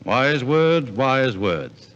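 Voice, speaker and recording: Animated radio compere, muffled old recording. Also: male voice, medium pitch, extremely slow. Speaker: radio show host. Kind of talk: 1950s radio variety show compering. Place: radio show before a live audience. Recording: muffled, noisy 1950s radio recording, loud crowd. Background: loud audience and noise.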